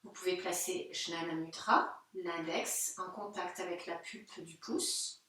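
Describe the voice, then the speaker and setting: A woman leads a guided yoga relaxation in a slightly low voice. She speaks gently and without hurry, leaving pauses between short instructions. The sound is strongly reverberant, with the microphone some distance away. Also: steady tone. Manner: gentle, unhurried, pausing between short phrases. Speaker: yoga teacher. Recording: very echoey room, distant microphone.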